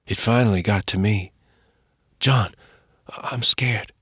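A man's voice: fairly low pitch, sing-song, fairly bright tone, no echo, studio quality, heavily acted. A man doing a feverish, fading mother. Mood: weak, scared. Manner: faint, weak, breathy and slow. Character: weak, feverish frontier mother, frightened.

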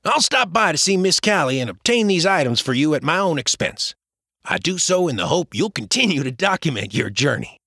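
A man voicing a good-humored young frontier friend who teases playfully in a lively voice. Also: on the high side, a little fast, gravelly, breathy edge, no echo, clean studio sound, pitched above the performer's own voice, heavily acted. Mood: teasing. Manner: playful, gently mocking.

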